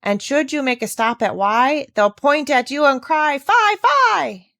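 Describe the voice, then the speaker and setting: A woman's voice: high-pitched, animated, measured pace, vocal fry, a bit bright, no echo, studio quality, voice pushed up, exaggerated voice. A woman voicing an eager, encouraging little Swiss girl in a children's storybook, earnest in manner. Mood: reciting. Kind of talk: children's storybook read aloud.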